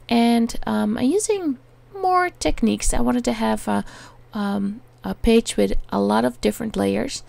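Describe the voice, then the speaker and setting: Dutch-accented woman, very gravelly fry, halting with lots of ums, crafting tutorial voice-over. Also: medium pitch, a little mellow. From Netherlands. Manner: hesitant, many ums while searching for words. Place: home attic.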